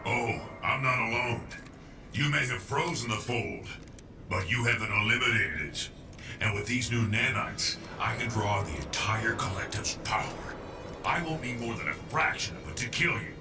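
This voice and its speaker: An actor playing a smug, coldly confident male supervillain in a video game, taunting and deliberate. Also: medium pitch, expressive, lightly acted. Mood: smug, threatening. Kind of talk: video game cutscene acting. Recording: echoey, distant, with music behind.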